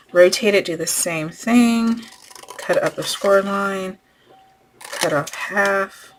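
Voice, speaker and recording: A woman hosting a craft tutorial, losing her voice and clearing her throat as she talks through each step while working. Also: on the low side, background noise. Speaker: crafting channel host.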